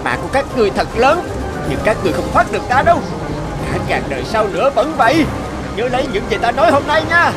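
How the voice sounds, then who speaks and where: A man's voice: very high voice, big pitch swings, quick pace, over a noisy crowd. A man voicing a menacing, resentful horned demon in a cartoon, threatening and taunting. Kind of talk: animated cartoon dub. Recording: loud music behind the voice.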